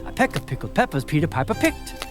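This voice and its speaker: A woman's voice: low voice, very animated, fast talker. A woman playing a mock-solemn storyteller, giving theatrical comic narration with dramatic patter over music. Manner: dramatic, crisp alliterative patter.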